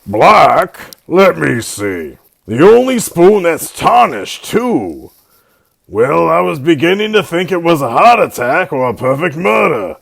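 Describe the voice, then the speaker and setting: A man playing an authoritative, methodical police sergeant in an old-time radio drama, questioning deliberately. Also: fairly high pitch, sing-song, gravelly, fairly bright tone, breathy edge, full character voice. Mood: probing. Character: brisk, methodical male police homicide sergeant. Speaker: actor.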